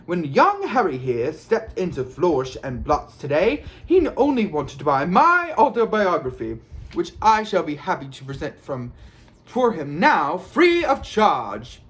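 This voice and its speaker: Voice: mid-range voice, wildly swooping pitch, a little slow, hardly any pauses, pitched above the performer's own voice. A narrator voicing a vain, showy male celebrity wizard author in a grandiose, theatrical, projecting manner. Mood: pompous announcing.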